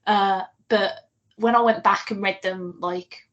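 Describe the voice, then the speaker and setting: A woman's voice: mid-range voice, takes it slowly, lo-fi sound. British woman in casual, jokey chat. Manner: informal, jokey, expressive. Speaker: podcast co-host.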